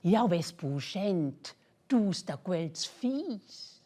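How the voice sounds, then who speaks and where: A woman playing a helpful, scheming male fox in a children's storybook, musing in a drawn-out way. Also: on the low side, very animated, mellow, full character voice.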